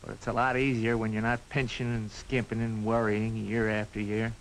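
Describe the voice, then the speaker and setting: An actor playing a reasonable, reassuring small-town doctor in a 1950s film drama, measured and practical, on an old hissy soundtrack. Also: male voice, on the low side, little pitch movement, natural voice, rough recording.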